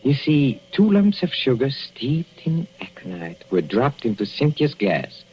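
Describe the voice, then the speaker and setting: An actor playing a sadistic middle-aged professor with a Central European accent, mocking and chuckling with purring menace in a 1940s radio play. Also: male voice, medium pitch, sing-song, measured pace. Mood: gloating. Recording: lo-fi vintage radio recording, faint hiss.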